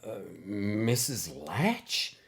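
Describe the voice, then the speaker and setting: A man's voice: on the low side, very animated, extremely slow, husky, exaggerated voice. Man acting a sheepish, stammering guy in his thirties. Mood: flustered. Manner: hesitant, stammering. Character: sheepish, flustered man in his thirties facing old teacher.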